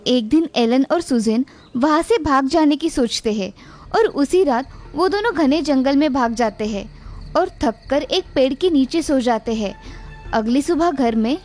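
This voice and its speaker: A woman narrating an animated children's story in a steady, clear storytelling manner. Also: high voice, unhurried, soft background music.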